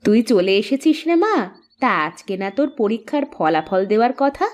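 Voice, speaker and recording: A woman's voice: a little high, big pitch swings, normal speed. A woman voicing an affectionate, proud village mother and housewife in a cartoon. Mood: anxious, fond. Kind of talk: Bengali cartoon dialogue.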